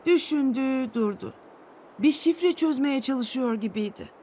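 Female storyteller for children's tales, fairly high register, expressive scripted read, clear background noise. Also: a little slow.

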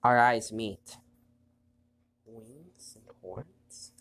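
A man with a stuffy nose hosting a gameplay video, chatting casually and reading the on-screen story text aloud in a quiet, low voice. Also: steady tone, extremely slow. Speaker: let's play host.

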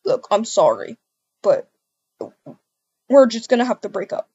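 A woman's voice: on the high side, very animated, a little slow, a little mellow, husky, highs cut off, full character voice. A woman voicing a pleading, apologetic cheating husband in an amateur game roleplay. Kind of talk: amateur voiced Roblox roleplay.

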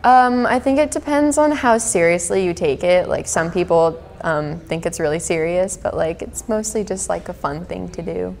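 A woman's voice: fairly low pitch, normal speed, gravelly, hardly any pauses, a little mellow, a little hiss or hum. A teenage girl answering interview questions casually and a bit hesitantly in a school hallway. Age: teens. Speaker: student.

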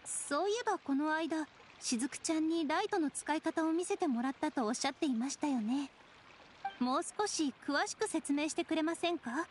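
A woman voicing a composed, courteous young female strategist in an anime game, speaking formally and gently. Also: high-pitched, normal speed, a little hiss or hum, natural voice. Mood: thoughtful. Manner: soft-spoken, courteous, formal. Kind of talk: Japanese anime game voice acting.

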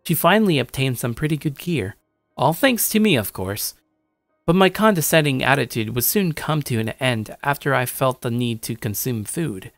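A man's voice: mid-range voice, expressive, normal speed, no room sound, faint music behind, lightly acted. A man playing a shy, awkward young loner gamer, narrating his own story in a self-deprecating way. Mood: wry, casual. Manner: self-deprecating, stammering when talking to others. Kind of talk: first-person story narration with acted dialogue.

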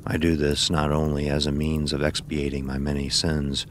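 An actor playing a weary old man narrating his dark memoirs in an audio drama, solemn and measured. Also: rumbling low, level tone, a little hiss or hum. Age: older.

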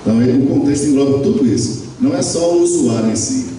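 A man's voice: medium pitch, expressive, quick pace, mellow, slight background noise. A middle-aged man giving a university lecture into a handheld mic, explaining step by step with frequent tag questions and fillers. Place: lecture room with projector. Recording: very echoey room, far from camera.

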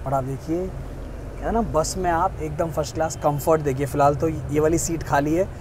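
A man's voice: a little high, fairly even intonation, brisk, noisy background. A young man, the host of a travel vlog, talks to viewers about a bus trip and points things out to them, first at an outdoor depot and then inside a sleeper bus, on a camera held at arm's length. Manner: chatty, keeps pointing things out to viewers, mixes in English phrases. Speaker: travel vlogger. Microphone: phone or action camera at arm's length.

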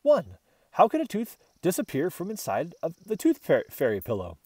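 An earnest young storybook girl, played by a man, working things out methodically. The voice is raised and very lively in pitch, with an orderly detective's manner. It is recorded dry. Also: normal speed, breathy edge, dry recording, exaggerated voice. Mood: puzzling it out. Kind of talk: children's storybook character dialogue.

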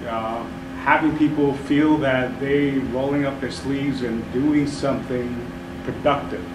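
A man in the audience asking a question in a measured way, with "uh" pauses. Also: mid-range voice, fairly even intonation. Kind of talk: question from the floor. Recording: echoey room, distant mic, noise.

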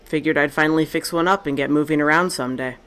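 A woman's voice: deep voice, quick pace, fairly bright tone. A woman playing Tessa, a tough, sarcastic and profane survivor, in a fan audio drama. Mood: wry.

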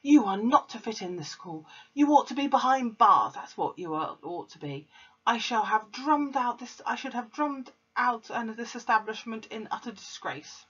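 A woman's voice: fairly high pitch, expressive, moderate pace, breathy edge, muffled recording, voice pushed up. A woman doing a raging bully headmistress. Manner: shouting, pompous, ranting.

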